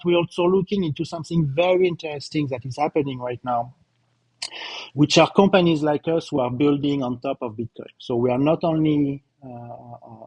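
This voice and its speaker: A man's voice: mid-range voice, darkish tone, no echo, rough recording. A middle-aged man with a slight French accent, a company co-founder giving a live results presentation, emphatic, with many fillers and repeated words.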